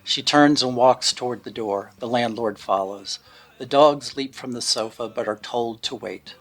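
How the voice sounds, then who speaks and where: A man with a mid-pitched voice narrates a scripted radio drama. He tells the story in a measured way, setting out each scene as a storyteller would. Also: fairly bright tone, low-quality recording. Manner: measured storytelling in present tense, acting out brief quotes.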